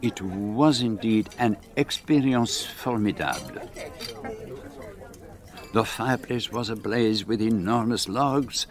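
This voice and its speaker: An actor playing a precise, fussy older detective, narrating and speaking in a measured, formal way. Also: male voice, on the low side. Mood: wry. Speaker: narrator and lead character. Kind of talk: radio drama narration.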